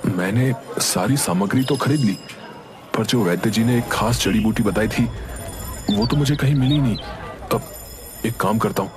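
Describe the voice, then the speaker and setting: A man's voice: fairly low pitch, moderate pace, long pauses, natural voice. A man playing an earnest, determined young village son in a Hindi cartoon story, speaking respectfully. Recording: music behind the voice.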